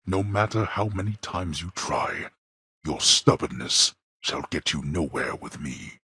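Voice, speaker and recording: A man voicing a stern, scornful god of the dead and imperious father in a video game, with a booming, gravelly voice and formal, archaic phrasing. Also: lively intonation, unhurried, sharp tone, no room sound, clean studio sound, exaggerated voice. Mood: solemn, cold. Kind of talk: video game character voice acting.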